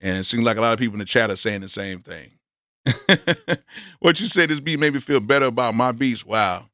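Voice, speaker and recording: A man hosting a live stream, reviewing beats in casual, blunt commentary, hoarse from yelling earlier and full of exclamations. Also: mid-range voice, lively intonation, a little fast, dry recording. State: hoarse, losing his voice from yelling.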